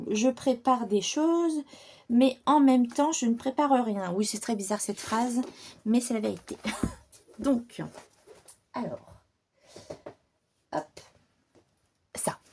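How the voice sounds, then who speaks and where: A woman chatting informally and unscripted to her viewers as a hobby channel host, in a relaxed, rambling manner. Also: fairly high pitch. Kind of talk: casual unscripted chat to viewers.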